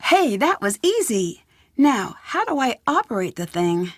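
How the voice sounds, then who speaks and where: A woman's voice: fairly high pitch, big pitch swings, unhurried, no echo, clean studio sound. An actress playing a polite, inquisitive young woman detective in a video game, with an earnest, probing way of talking. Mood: curious. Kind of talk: video game character dialogue.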